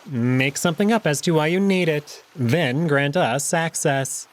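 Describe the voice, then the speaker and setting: A man plays a jackal noble from a visual novel, pitched a little high for a male voice. He is stern and scheming. He speaks briskly and tersely, like someone used to giving orders. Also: natural voice. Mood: cold, threatening.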